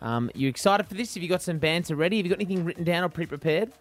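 An Australian man hosting a radio show with lively, chatty banter. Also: high-pitched, very fast, faint music behind. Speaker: breakfast radio host.

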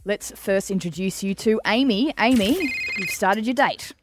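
A woman's voice: mid-range voice, measured pace, background noise. An Australian woman chatting casually as a radio co-host.

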